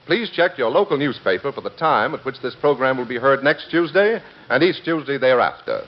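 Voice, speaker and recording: A man's voice: mid-range voice, very animated, fairly quick. A man announcing on 1940s radio in a formal, emphatic manner. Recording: dull vintage radio broadcast with music.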